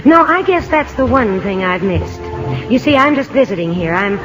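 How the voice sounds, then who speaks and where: An actress playing a polite young woman on a date in a radio drama, gently teasing and playful. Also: a little high, very animated, moderate pace. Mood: polite, curious. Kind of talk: 1950s radio drama acting. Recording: muffled 1950 radio broadcast with music.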